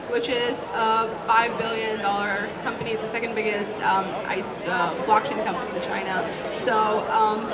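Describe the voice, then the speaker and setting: A woman from Pakistan presents herself and her work on a panel. Her fairly high voice is picked up at a distance, in very loud crowd chatter. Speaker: venture partner, panelist. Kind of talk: self-introduction at a panel.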